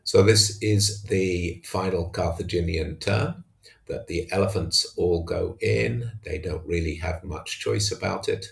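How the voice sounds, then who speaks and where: Guy recapping his wargame battle, deep creaky voice, kinda slow and halting. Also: steady tone. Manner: hesitant, halting delivery. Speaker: tabletop wargamer narrating own battle report. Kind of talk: unscripted match recap commentary.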